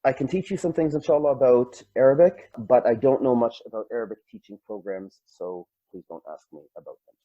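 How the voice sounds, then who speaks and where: A man's voice: medium pitch, brisk, few pauses, dark tone, no echo. A man, patiently explaining grammar with examples as an Arabic instructor. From Canada. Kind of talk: lecture. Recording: dull, dry lo-fi.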